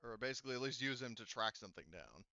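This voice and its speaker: A man improvising tabletop game narration as game master, halting and explanatory, often circling back to clarify. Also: mid-range voice, animated, creaky, pushed and bright, no room sound, dull audio.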